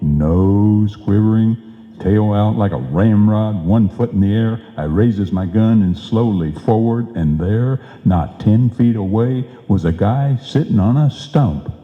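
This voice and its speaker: A man playing a blustering, folksy husband and tall-tale-telling bumbler in an old-time radio comedy, with slangy, exclamatory talk. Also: fairly low pitch. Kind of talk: old-time radio comedy acting before a live audience. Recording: dull, echoey live hall recording.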